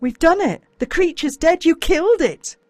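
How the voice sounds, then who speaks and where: A woman's voice: extremely high pitch, animated, moderate pace, a little mellow, no echo, pitched above the performer's own voice. Woman acting a young Australian boy, loyal, brave, urgent, earnest, storybook character, dry close sound. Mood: earnest, urging.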